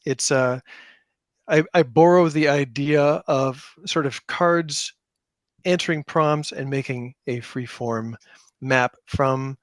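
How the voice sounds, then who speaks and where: A man's voice: mid-range voice, takes it slowly, dry recording, clean studio sound. A middle-aged man telling anecdotes casually as an interview guest, repeating words for emphasis. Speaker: podcast guest, game creator; high school teacher.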